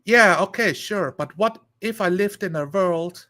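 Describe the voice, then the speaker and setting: A man chatting casually on a live stream, rambling and thinking aloud in a halting way. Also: high voice, pushed and bright.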